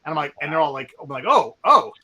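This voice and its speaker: A man's voice: on the high side, big pitch swings, quick pace, sharp tone. A man hosting a livestream who talks in an upbeat, rambling way with plenty of fillers. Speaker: game publisher host. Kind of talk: casual livestream chat.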